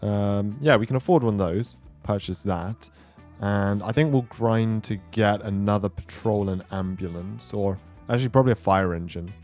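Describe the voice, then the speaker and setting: A man's voice: on the low side, moderate pace, darkish tone. A man giving casual gameplay commentary as a video host, thinking aloud as he goes. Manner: thinks aloud, talks himself through decisions. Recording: music behind the voice.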